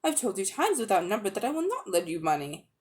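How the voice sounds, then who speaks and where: A stern storybook aunt of mature years, played by a woman reader. She speaks firmly and with quiet disapproval, in a mid-range voice that will not be budged. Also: mid-range voice, big pitch swings, quick pace, lightly acted. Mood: stern refusal. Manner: firm, stern, unyielding. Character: firm, quiet, disapproving aunt in late middle age. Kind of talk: dramatic audiobook reading.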